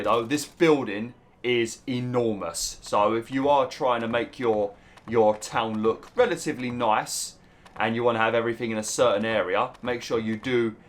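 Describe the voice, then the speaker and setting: A young British man with a slightly rough, hoarse throat, chatting casually through gameplay commentary and breaking into mild exclamations. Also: medium pitch. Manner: chatty, swears mildly, bursts into exclamations at the game. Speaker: let's play host.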